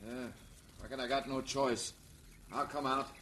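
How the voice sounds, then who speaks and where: An actor playing a wronged, defiant rancher in an old radio western drama. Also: male voice, medium pitch, flat delivery, measured pace, sharp tone. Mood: wary, defiant. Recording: noisy old radio recording.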